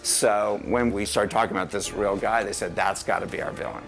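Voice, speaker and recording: A man's voice: fairly low pitch, fairly even intonation, fairly quick, fairly bright tone, faint music behind. A man from the filmmaking team explaining the background of a character in a plain, matter-of-fact way. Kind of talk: interview explanation.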